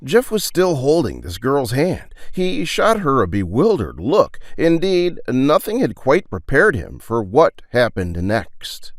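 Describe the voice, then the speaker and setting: A man's voice: medium pitch, wildly swooping pitch, takes it slowly, hardly any pauses, dry recording, clean studio sound. A man narrating a scripted audio drama with theatrical, suspenseful storytelling.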